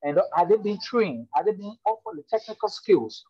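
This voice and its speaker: A man's voice: high voice, very animated, moderate pace. A man, speaking earnestly with many fillers and restarts as a webinar participant on a video call from a public space. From Liberia. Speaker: webinar participant asking a question. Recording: dry, dull lo-fi call audio.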